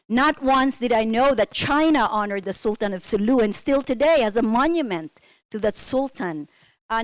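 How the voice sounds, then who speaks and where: A woman's voice: on the high side, no room sound. Candid Filipino-born woman on a panel. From Philippines. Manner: earnest storytelling, candid and self-critical. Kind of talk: conference panel talk.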